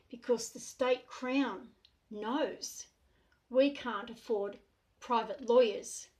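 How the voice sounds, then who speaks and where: Earnest Australian woman, higher voice. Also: darkish tone, poor audio. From New South Wales, Australia. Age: middle-aged. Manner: earnest, deliberate, in short measured phrases. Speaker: self-represented complainant. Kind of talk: monologue to camera.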